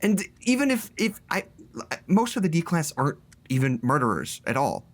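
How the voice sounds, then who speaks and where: A man's voice: fairly high pitch, very animated, normal speed. A man acting in an audio drama as an earnest, uneasy young idealist, hesitant but articulate. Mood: earnest, troubled.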